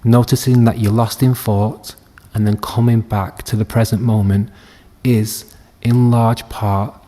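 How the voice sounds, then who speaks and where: Man, meditation teacher, lowish voice, very even intonation, gentle, soothing, unhurried, long pauses. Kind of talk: guided body scan meditation.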